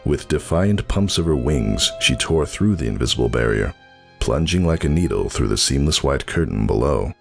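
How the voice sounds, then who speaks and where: A man's voice: extremely low pitch, expressive, normal speed, vocal fry, soft background music. A man narrating a story as a scripted dramatic reading.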